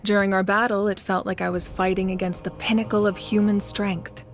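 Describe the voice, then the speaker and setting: An actress playing a proud, centuries-old warrior leader in a video game, calm and authoritative, with music behind her. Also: medium pitch, a little fast, lightly acted. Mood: confident. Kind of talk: dramatic video game dialogue.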